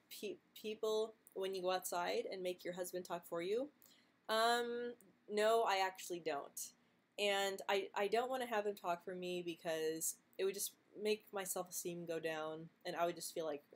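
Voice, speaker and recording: A young woman who stutters, answering viewer questions in a casual live stream, speaking softly with frequent repeated sounds and light laughs. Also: medium pitch, steady tone, moderate pace. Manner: soft-spoken, laughs lightly between answers.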